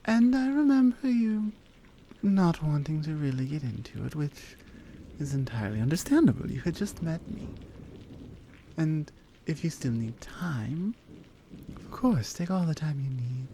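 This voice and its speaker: Woman playing a low, mellow, slow-spoken lioness lover. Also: expressive, slight background noise. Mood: gentle, curious. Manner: soft, deliberate, halting, earnest. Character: strong, dominant lioness woman, tender and earnest lover. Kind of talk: intimate ASMR roleplay acting.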